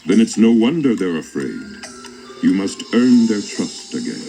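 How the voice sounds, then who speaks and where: Deep, regal lion king. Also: sing-song, creaky, warm tone, soft background music, heavily acted. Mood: reassuring, firm. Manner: regal, measured, authoritative. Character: wise, kindly, commanding old lion king. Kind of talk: dramatized children's read-along story.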